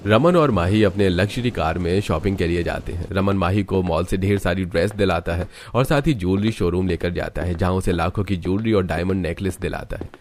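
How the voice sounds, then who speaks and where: A man's voice: low-pitched, a little fast, hardly any pauses, darkish tone. A man narrating a scripted cartoon story.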